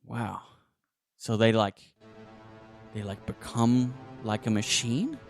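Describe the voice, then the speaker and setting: A man playing a young street kid scavenger in a tabletop game, halting, slangy and foul-mouthed. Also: on the low side, gravelly, soft background music. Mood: curious. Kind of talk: improvised tabletop roleplay acting.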